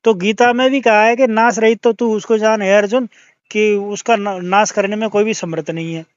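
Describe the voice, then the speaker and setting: A man's voice: high-pitched, fairly even intonation, normal speed, clean tone, no room sound. A man in a religious debate on a phone call, arguing through questions and recited scripture. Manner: argumentative, questioning, quoting scripture from memory. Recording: dull lo-fi call audio.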